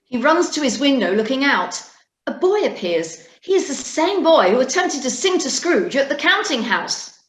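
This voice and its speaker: A woman narrating an amateur radio play in a deliberate, dramatic storytelling manner. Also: sits high, very animated. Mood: dramatic, measured. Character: story narrator describing scenes. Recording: roomy echo, music underneath.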